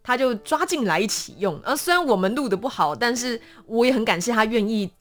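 A young woman with a Taiwanese Mandarin accent speaks in a fairly high voice. Her intonation swings widely as she explains things casually and with some self-deprecation. Faint music plays behind her. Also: fairly quick. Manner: animated, self-deprecating, acts out small examples. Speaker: interview guest, voice actor.

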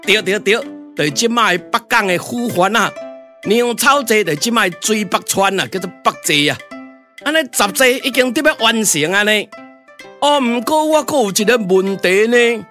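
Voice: mid-range voice, lively intonation, normal speed, bright, forceful tone, faint music behind. A performer with a Taiwanese accent voicing an emphatic, know-it-all pioneer soldier in a glove-puppet radio drama. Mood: explanatory.

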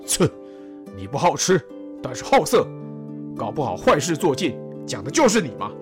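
Woman doing a blunt, low scolding general, lively tone, faint music. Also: moderate pace. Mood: mocking. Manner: scolding, mocking. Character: gruff blunt male general.

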